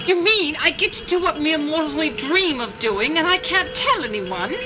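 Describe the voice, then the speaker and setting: A woman's voice: sits high, lively intonation, moderate pace, music in the background, poor audio. A woman playing an eager, irreverent young time-travel companion in an audio drama. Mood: let down.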